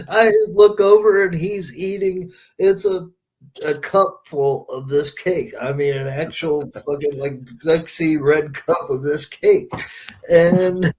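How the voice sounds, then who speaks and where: A man's voice: on the high side, rough recording. A man hosting a podcast in casual, conversational chat. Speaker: podcast host.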